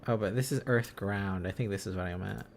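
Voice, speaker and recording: A man hosting an informal language-learning livestream, thinking aloud in a halting, casual, self-correcting way. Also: lowish voice, creaky, soft background music. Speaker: livestream host learning Korean along with viewers.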